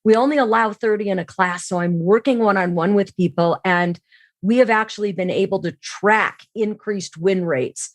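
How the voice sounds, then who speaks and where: A woman's voice: medium pitch, moderate pace, no room sound. Woman explaining in conversation, close dry recording. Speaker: podcast guest, sales trainer and keynote speaker. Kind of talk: conversational expert interview.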